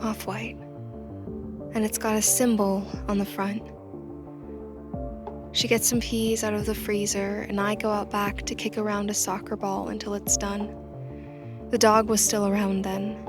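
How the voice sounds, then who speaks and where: A woman playing an earnest, soft-hearted job candidate in a naturalistic audio drama, tentative and thinking aloud. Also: mid-range voice, flat delivery, a little slow, pauses often, music in the background, close to an everyday voice. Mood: tentative, remembering.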